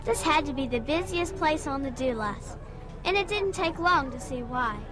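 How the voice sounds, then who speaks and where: A woman's voice: high voice, expressive, moderate pace, noisy background. A woman narrating a children's program in scripted voice-over, chatty and kid-friendly. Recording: voice-over over background music.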